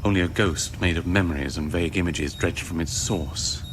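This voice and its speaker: An actor playing a blunt, cynical scientist in a radio drama, with a sardonic, argumentative way of talking. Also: male voice, sits low, moderate pace, background noise, natural voice. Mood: guarded. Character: cynical, blunt male scientist, world-weary realist.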